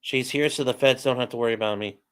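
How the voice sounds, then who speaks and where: A man's voice: medium pitch, quick pace, a bit bright, smooth. A man casually chatting with his livestream audience, joking and answering viewer comments in a sarcastic, offhand way. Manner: joking, sarcastic, talks straight to chat. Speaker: streamer and legal commentator. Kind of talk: casual livestream chat reading viewer comments while building a model.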